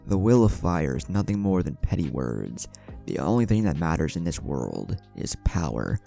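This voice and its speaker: Man voicing a stern, scheming older shinobi, deep. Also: very animated, moderate pace, gravelly, dark tone, exaggerated voice. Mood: insistent. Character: stern, scheming older male shinobi leader, stoic, power-hungry. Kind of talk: fan fiction audiobook dialogue. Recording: music under the voice.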